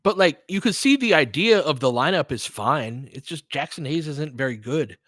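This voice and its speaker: A man's voice: on the high side, animated, normal speed, a bit bright, dry recording, clean studio sound. A man with an American accent giving casual live basketball commentary, thinking aloud and chatting conversationally with listeners. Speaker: host of fan play-by-play livestream.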